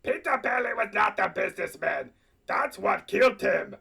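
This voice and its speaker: A rich old banker villain for a comedic radio play. He is pompous and scornful, declaiming with animated swings and a gravelly edge. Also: high-pitched, moderate pace, recorded from a distance, full character voice. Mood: scornful, haughty.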